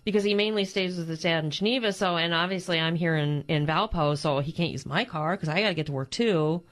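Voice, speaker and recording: Chatty middle-aged woman, lowish voice. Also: fast talker. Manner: chatty storyteller, lots of "like" and restarts. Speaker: radio co-host. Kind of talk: casual chat. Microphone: studio microphone.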